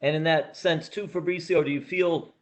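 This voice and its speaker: A man's voice: fairly high pitch, steady tone, moderate pace. A male reporter politely asking a fighter a question. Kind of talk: press conference question.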